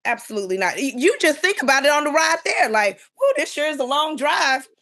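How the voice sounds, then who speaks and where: A woman's voice: high voice, sing-song, brisk, sharp tone. A middle-aged woman speaking bluntly and emphatically in a casual panel discussion as a guest.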